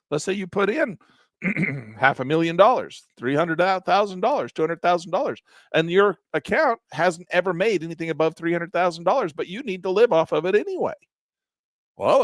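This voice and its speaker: An older man teaching options trading in a live session, animated and exasperated, breaking into mocking high voices and low grumbles. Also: dry recording, studio quality. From Southern Utah. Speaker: trading educator. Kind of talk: live-stream trading lesson, off-the-cuff rant.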